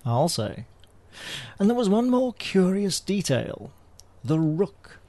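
An actor playing an urbane, wry British spy in a spoof radio drama, with a droll, understated British delivery. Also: male voice, high-pitched, sing-song, slow pace, mellow, no echo, exaggerated voice. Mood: wry, composed. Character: urbane, wry male British spy, unflappable gentleman agent.

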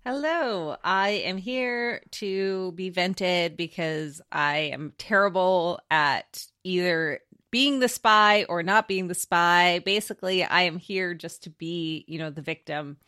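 A woman's voice: lowish voice, slow, hardly any pauses, bright, forceful tone, smooth, dry recording. A woman bantering casually as a game show panelist, with dry, self-deprecating humour.

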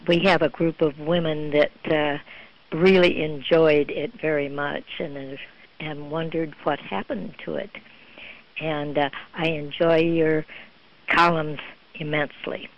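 A woman's voice: low voice, steady tone, unhurried. An older woman leaving a polite, hesitant voicemail message. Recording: dull voicemail line.

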